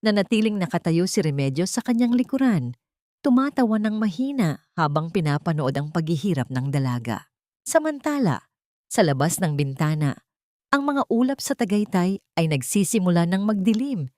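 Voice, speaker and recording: A woman with a fairly low voice narrates a dramatic audiobook. Her delivery is scripted storytelling, and the recording is very dry and close. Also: big pitch swings, moderate pace, a little mellow, no echo.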